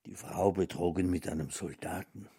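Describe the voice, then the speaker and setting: A man performing a radio play monologue in a brooding, deliberate manner. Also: low-pitched, steady tone, dark tone, breathy edge, full character voice.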